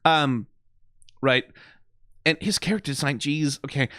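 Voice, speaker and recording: A man's voice: on the high side, a little slow, sharp tone, no room sound, clean studio sound. A man hosting a reaction video, giving rambling, analytical criticism full of "like" and "right?" with a wry, profane edge. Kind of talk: casual critical commentary.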